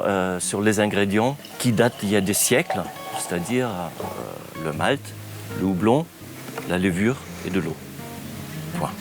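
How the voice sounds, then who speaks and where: A man from Bavaria, a brewer speaking in an interview in a slow, halting way with many pauses. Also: lowish voice. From Bavaria, Germany. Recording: music bed under the voice.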